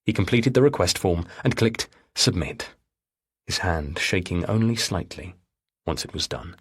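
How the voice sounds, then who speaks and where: A man narrating a thriller novel with a husky voice and measured, deliberate phrasing. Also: low voice, lively intonation. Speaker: audiobook narrator.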